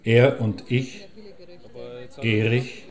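A man's voice: medium pitch, flat delivery, very slow. A man playing a matter-of-fact former close friend, giving short recollections in an audio drama collage.

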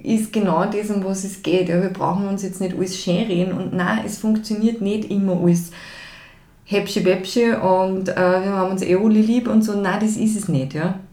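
A woman's voice: mid-range voice, steady tone, moderate pace, dark tone. A woman, a project founder talking as a podcast guest, emphatic and full of hesitation fillers. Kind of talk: casual conversation. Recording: roomy echo.

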